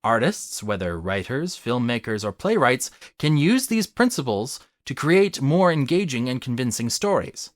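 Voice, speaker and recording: Man delivering an essay, dry and lively. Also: medium pitch, moderate pace, hardly any pauses, dry recording. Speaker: video essayist. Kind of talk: scripted argumentative narration.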